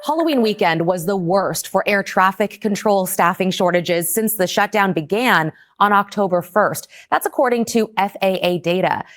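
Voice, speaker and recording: A woman's voice: medium pitch, moderate pace, few pauses, slight background noise. Female news anchor, mid-range voice. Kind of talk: scripted news narration.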